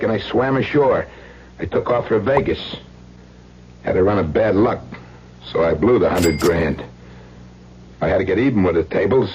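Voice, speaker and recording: A man's voice: lowish voice, slight background noise, close to an everyday voice. An actor playing a hardened, desperate ex-convict who talks in menacing tough-guy slang in a radio thriller. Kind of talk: old-time radio thriller acting. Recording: dull vintage radio recording.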